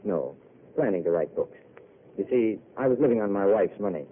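A man's voice: on the low side, animated, normal speed, slight background noise, close to an everyday voice. A man playing a weary, wry wandering intellectual. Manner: wry, eloquent, self-mocking. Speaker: wandering failed writer. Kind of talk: 1930s radio drama acting. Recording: tinny old radio broadcast.

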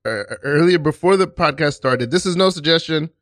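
A man's voice: fairly high pitch, a little fast, a bit bright, no room sound. Male podcast host, casual and self-deprecating, close-miked in a dry radio studio. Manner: rambling, self-deprecating, laughs often. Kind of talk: casual improv podcast chat. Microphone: studio mic.

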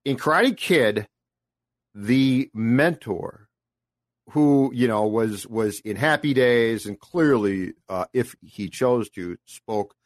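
A man's voice: mid-range voice, expressive, slow pace, no room sound, studio quality. A man co-hosting a podcast in a casual chat, sounding amused, rambling, and now and then putting on voices to mock. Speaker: podcast co-host.

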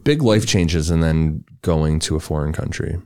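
A man co-hosting a podcast, reading a true crime story in a relaxed, loose way with casual asides. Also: very deep voice, normal speed, dry recording, clean studio sound. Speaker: podcast co-host.

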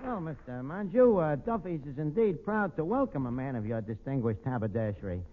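Brash New barkeep, 1940s radio comedy; slangy, mock-grand, animated, over a noisy old recording. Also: male voice, a little high, brisk, phone-quality sound. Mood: cocky. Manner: mock-pompous, stumbling, slangy, cocky. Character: brash, malaprop-prone New York tavern manager.

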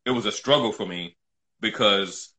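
A man's voice: on the low side, normal speed, pushed and bright. A middle-aged man from New City, telling his own story casually as an interview guest, emphatic and often repeating himself. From New York City, United States.

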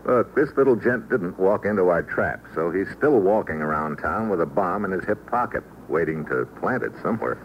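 An actor playing a cool, wry investigator who narrates his case in terse, deadpan slang, in an old-time radio noir drama. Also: deep voice, moderate pace, few pauses. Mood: brisk, serious. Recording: muffled, noisy vintage radio recording.

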